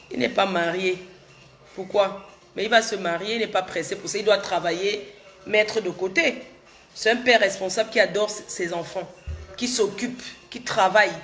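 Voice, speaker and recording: A woman's voice: medium pitch, normal speed, pauses often, fairly bright tone, soft background music. A middle-aged woman, chatting casually on a livestream while she has a cold, repeating herself and answering viewers' comments directly. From Cameroon. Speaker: social media livestreamer. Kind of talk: casual live chat with viewers.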